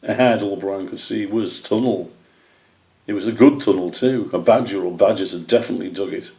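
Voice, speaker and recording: A man's voice: fairly low pitch, fairly quick, creaky, mellow. A man reading a children's story aloud as scripted narration. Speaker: story reader.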